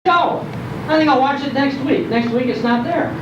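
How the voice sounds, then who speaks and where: Older male speaker, high voice, animated nostalgic storytelling, emphatic repetition, distant muffled recording. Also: normal speed. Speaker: speaker on old-time radio history. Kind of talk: nostalgic talk to a live audience. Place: indoor program venue, recorded from afar. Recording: dull, distant, echoey hall recording, loud noise.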